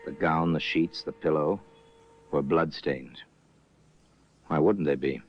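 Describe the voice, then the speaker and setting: A man narrating a radio crime drama in a measured, grave manner. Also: rumbling low, fairly even intonation, faint music behind. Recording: muffled old radio broadcast.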